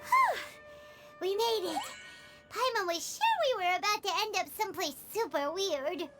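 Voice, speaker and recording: A woman voicing a tiny, chatty and excitable female fairy companion in a video game, talking in exclamations. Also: very high-pitched, moderate pace, loud music behind the voice. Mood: relieved, reassuring. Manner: speaks of self in third person, exclamatory. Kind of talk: video game character acting.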